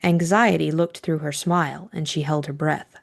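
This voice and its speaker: A woman's voice: deep voice, steady tone, normal speed, creaky. A woman reading scripted audiobook narration as the storyteller.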